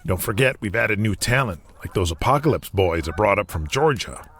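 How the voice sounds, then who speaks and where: A man's voice: fairly low pitch, lively intonation, a little fast, fairly bright tone, slightly rough, exaggerated voice. A man playing an earnest grown son pressing his father in a wrestling audio drama. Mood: persuading.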